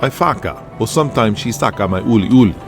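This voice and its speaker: A man's voice: fairly low pitch, expressive, fast, over music. A man voicing a cocky, easygoing Hawaiian star wrestler with a Hawaiian accent, breezy and boastful, in pidgin grammar. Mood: smug.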